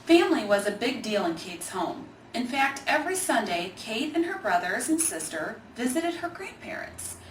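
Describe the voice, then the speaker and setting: High-voiced woman reading a storybook, echoey room. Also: big pitch swings, moderate pace, few pauses. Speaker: Alzheimer's organization staffer reading a children's book. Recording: echoey room, distant mic, faint noise.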